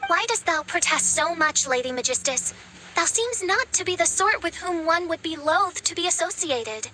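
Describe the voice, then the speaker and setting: Very high, pompous theatrical teenage girl. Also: expressive, moderate pace, background noise. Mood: haughty. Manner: grandiose, pompous, mock-archaic diction. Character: theatrical teenage girl styling herself as archaic royalty. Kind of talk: anime game voice acting. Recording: background music behind the voice.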